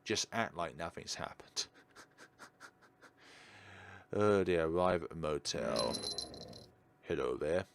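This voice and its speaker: A man reading a visual novel aloud as a let's-play host, theatrical and drawn-out, with dry ad-libbed asides. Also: low voice, lively intonation, vocal fry, slight background noise. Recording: prominent game music behind the voice. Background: video game music.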